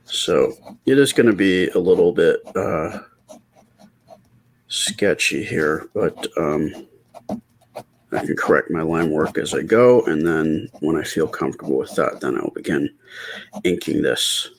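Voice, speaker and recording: A middle-aged man talking softly and casually in ASMR style as he draws, with a quiet, hesitant, rambling delivery. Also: a bass voice, a little slow, vocal fry, pauses often, slight background noise. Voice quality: quiet, soft-spoken voice. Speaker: artist and channel host. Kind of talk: casual ASMR storytime while drawing.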